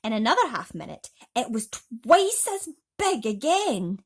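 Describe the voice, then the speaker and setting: A woman's voice: a little high, sing-song, a little slow, husky. A woman reading a children's bedtime story expressively, with dramatic emphasis, as a host talking to young listeners.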